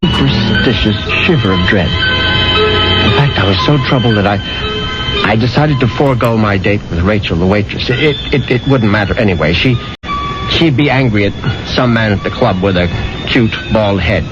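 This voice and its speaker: A man's voice: lowish voice, lightly acted. Man playing a stammering, haunted radio drama husband. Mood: uneasy, troubled. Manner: nervous stammering, halting repetitions. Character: anxious, guilt-haunted husband narrating his own growing paranoia. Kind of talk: 1970s radio mystery drama acting, first-person narration. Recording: muffled 1970s radio broadcast, loud music.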